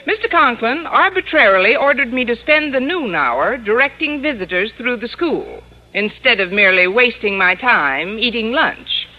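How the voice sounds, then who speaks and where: A woman acting a wry, sardonic schoolteacher in old-time radio comedy, with dry, deadpan wisecracks. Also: a little high, moderate pace, natural voice. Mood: wry narrating. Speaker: lead actress and narrator. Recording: muffled, noisy old radio broadcast recording.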